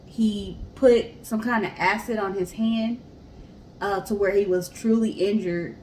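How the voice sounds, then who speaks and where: A woman with a mid-pitched voice hosts a casual history discussion. She explains things conversationally and talks straight to her listeners. The recording is roomy and echoey, with noticeable background noise. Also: steady tone, measured pace, darkish tone. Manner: explanatory, addresses listeners directly. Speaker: podcast co-host and storyteller. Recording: roomy echo, clear background hiss.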